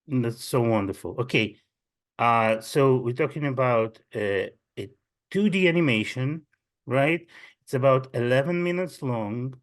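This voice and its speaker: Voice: mid-range voice, measured pace. An interview host asking short questions and giving encouraging remarks.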